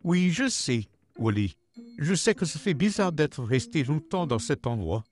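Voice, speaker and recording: A man voicing a friendly, loyal puppet dog in a comic dub, effusive and animated. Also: a little high, unhurried, soft background music.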